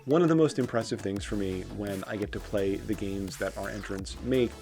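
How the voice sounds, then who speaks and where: A man speaking as an organizer of a competition, in a measured, explanatory interview manner. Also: fairly low pitch, darkish tone, soft background music. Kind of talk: interview soundbite.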